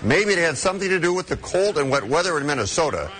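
A man's voice: a little high, big pitch swings, quick pace, fairly bright tone. A male TV sports reporter giving a wry, mocking report.

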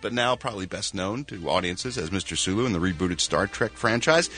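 A man's voice: low-pitched, a little fast, husky, faint music behind. A man reading out entertainment news on a radio show and riffing playfully with the hosts. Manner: animated, jokey, riffing. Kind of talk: showbiz report and banter.

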